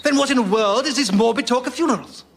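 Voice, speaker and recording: An actor playing a pompous, old-fashioned upper-class English gentleman telling a digressive tale in a radio comedy. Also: very high-pitched, fast talker, a little hiss or hum. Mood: pompous, droll. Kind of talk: radio sketch comedy storytelling.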